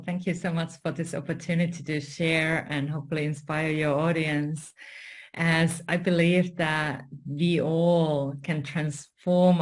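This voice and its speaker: A woman with a Finnish accent telling a personal story as an interview guest, in a measured, deliberate way with pauses. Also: sits low. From Finland. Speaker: guest, story coach and spiritual mentor.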